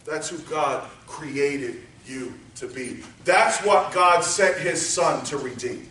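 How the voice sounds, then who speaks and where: A man preaching a sermon, moving from quiet teaching up to shouted exhortation. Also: on the high side, animated, slow pace, a little hiss or hum. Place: church sanctuary. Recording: echoey church hall, voice from far off.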